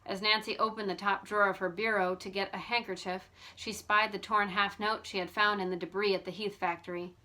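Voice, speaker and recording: A woman reading a novel aloud as an amateur audiobook narrator, in an expressive storytelling style. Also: mid-range voice, brisk, hardly any pauses, poor audio.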